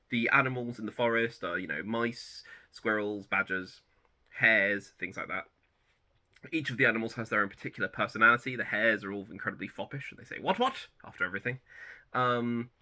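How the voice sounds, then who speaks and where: A man in his thirties hosting a book channel, chatting casually about personal favourites in a relaxed, rambling way that often corrects itself. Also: fairly low pitch, a little fast. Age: 30s. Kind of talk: casual personal chat to camera.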